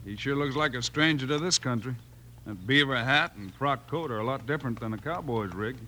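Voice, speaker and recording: An actor playing a steady, good-natured, plain-spoken cowboy lawman in a radio western. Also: mid-range voice, a little fast, slight background noise, lightly acted. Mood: firm, watchful. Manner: plain-spoken, dry, firm. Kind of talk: 1950s radio western acting.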